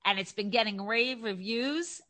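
Bright New York woman host. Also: on the high side, measured pace, no echo. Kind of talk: interview host.

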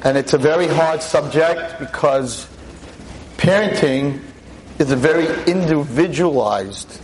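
A veteran teacher in his sixties lecturing an audience on a microphone, with a loud voice, emphatic and full of rhetorical questions. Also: a little high, measured pace, slight background noise, poor audio. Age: 60s. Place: talk in front of an audience. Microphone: handheld or stand mic.

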